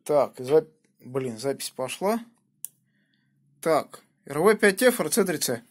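A man's voice: a little high, sing-song, slow, husky. A man working as an amateur radio operator, talking casually on the air and using call signs, recorded on a phone indoors. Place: small indoor room at a home radio station. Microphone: phone held near the radio.

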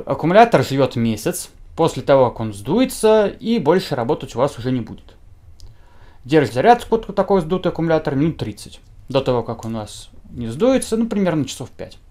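A man's voice: medium pitch, darkish tone. Young man with a very varied intonation. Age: young adult. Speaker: gadget reviewer. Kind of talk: informal product review to camera. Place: small indoor room, seated at a table.

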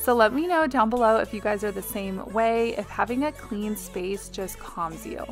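A woman's voice: medium pitch, moderate pace, soft background music. A woman in her thirties, a mother and home vlogger, chatting through a cleaning video voice-over in a confiding, casual way with little ums.

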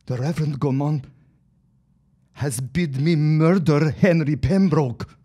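A man's voice: on the high side, slow, mellow, breathy edge. An actor playing a troubled, remorseful immigrant husband and reluctant henchman in an audio drama, speaking in a formal, melodramatic, lamenting way. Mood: anguished. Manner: melodramatic, lamenting, formal old-fashioned phrasing.

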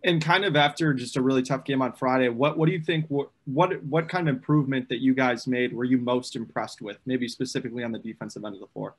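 Brief: male reporter, mid-range voice, friendly halting questions. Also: mid-range voice, husky. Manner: conversational, slightly halting. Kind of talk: press conference questions.

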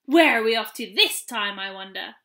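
A woman's voice: on the high side, lively intonation, moderate pace, bright, forceful tone, voice pushed down. A woman voicing an adventurous, forthright young boy in a children's storybook, exclaiming and questioning. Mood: excited.

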